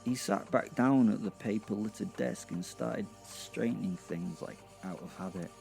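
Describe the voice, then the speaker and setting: A man's voice: on the low side, normal speed, gravelly, music in the background. A man narrating a comic horror audio drama in the first person, with a wry, conversational manner.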